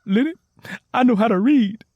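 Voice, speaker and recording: A man voicing an eager, excitable young woman, earnest and folksy, in a narrated story. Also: extremely high pitch, normal speed, no room sound, highs cut off, voice pushed up. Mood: excited, warm.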